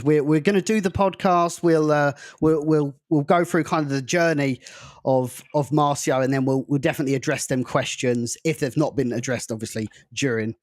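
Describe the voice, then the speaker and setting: A man's voice: medium pitch, no room sound, studio quality. A man hosting a casual podcast interview in an enthusiastic, jokey manner. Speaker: podcast host.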